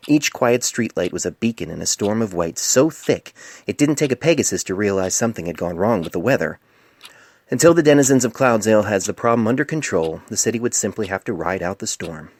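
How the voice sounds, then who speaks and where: Male amateur narrator, low-pitched, reading prose fiction into a phone mic with a dry, close sound. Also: expressive, fairly quick, dry recording. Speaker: author narrating own story. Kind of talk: scripted fan fiction narration. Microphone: cell phone microphone.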